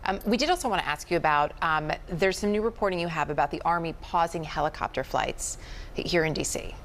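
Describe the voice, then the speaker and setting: A woman's voice: low-pitched, a little fast, a bit bright. An American woman anchoring the news in a conversational style, addressing correspondents.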